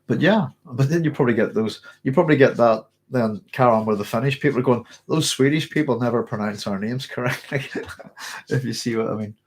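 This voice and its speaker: A man's voice: medium pitch, fairly quick, breathy edge. A man with a Northern Irish accent, hosting a casual live stream, chatting and reading comments, his throat tickly with a cough. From Northern Ireland. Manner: rambling, chatty, frequent fillers and restarts.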